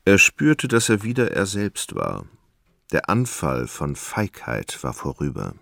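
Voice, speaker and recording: Very deep, gravelly male narrator. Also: huge pitch swings, fairly bright tone, dry recording, studio quality. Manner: measured, storytelling. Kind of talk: literary scripted narration in a radio drama.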